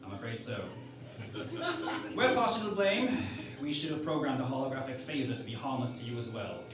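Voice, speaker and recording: A man's voice: medium pitch, expressive, a little fast, lightly acted. A man playing a self-assured, cheeky starship captain with grandly formal delivery in a sci-fi parody radio play. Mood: concerned, explanatory. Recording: echoey, distant, music underneath.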